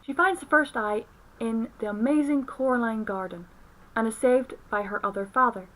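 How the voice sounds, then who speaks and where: A young woman narrates a film review from a script. Her voice sits a little higher than most women's, and she speaks as the reviewer presenting commentary. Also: normal speed, a bit bright, rough recording.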